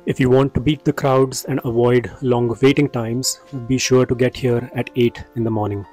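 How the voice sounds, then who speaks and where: A man giving scripted voice-over narration for a travel vlog, in a measured, informative way. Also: mid-range voice, flat delivery, a little mellow, faint music behind, low-quality recording.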